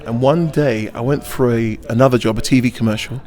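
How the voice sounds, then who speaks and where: A man's voice: medium pitch, steady tone, fairly quick, a little mellow. A male pantomime actor answering interview questions in a chatty, rambling storytelling way, with playful asides.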